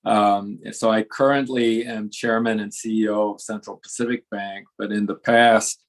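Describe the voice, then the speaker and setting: A man's voice: on the low side, little pitch movement, moderate pace. An older man from Japan giving fluent, conversational introductory remarks as a contest judge and executive. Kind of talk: semi-formal introductory remarks.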